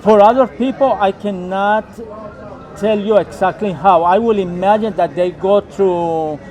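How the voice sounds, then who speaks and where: A man from Colombia who was raised in the United States, a local guide and English teacher, answering questions slowly with many fillers and repeats. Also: high voice, darkish tone. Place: outdoors on stairs in a city neighborhood.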